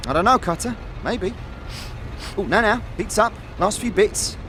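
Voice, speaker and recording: A man's voice: high-pitched, lively intonation, a little slow, fairly bright tone, a little hiss or hum. A man playing a friendly, chatty young apprentice smith in a fantasy audio drama, speaking in colloquial slang with hesitant starts. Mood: pleased, encouraging.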